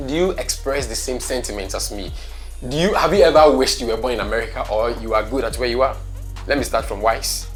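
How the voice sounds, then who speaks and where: A man's voice: mid-range voice, brisk, soft background music. Ghanaian man hosting a chatty talk show. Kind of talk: conversational talk show hosting with Pidgin phrases.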